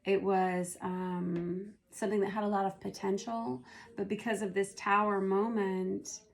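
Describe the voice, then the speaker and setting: A hoarse woman who is losing her voice, doing a casual tarot reading and thinking aloud as she turns the cards. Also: medium pitch, level tone, unhurried. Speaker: tarot reader.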